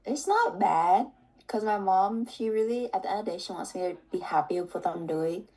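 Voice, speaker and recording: Mellow-voiced young woman, soft-spoken. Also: mid-range voice, normal speed. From Seattle, US. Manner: relaxed, soft-spoken, uses "like" often. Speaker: interviewee. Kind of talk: casual conversation.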